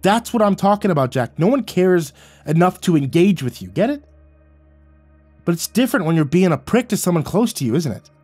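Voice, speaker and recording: A man's voice: sits high, very animated, racing, few pauses, dark tone, husky, no echo, voice pushed up, heavily acted. A man voicing a defiant, confrontational younger man who speaks in a biting, combative way over faint music. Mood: furious.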